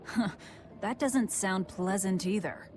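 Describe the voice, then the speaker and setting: An actress playing a cold, guarded young female demon fighter with a curt, deadpan manner in a video game. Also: mid-range voice, takes it slowly, background noise, natural voice. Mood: dismissive. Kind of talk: English video game voice acting.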